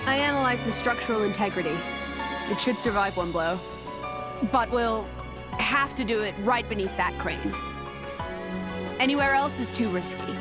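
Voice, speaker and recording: A woman's voice: on the high side, expressive, moderate pace, pauses often, lightly acted. A woman playing a composed, analytical young heroine in a video game cutscene. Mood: calm, focused. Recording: loud music over the voice.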